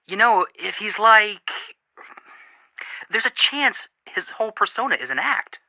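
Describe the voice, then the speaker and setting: An actor playing an earnest, sympathetic young amateur detective in scripted video game dialogue. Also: male voice, high-pitched, lively intonation, measured pace, bright, forceful tone, no echo, heavily acted, low-quality recording. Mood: earnest, reflective.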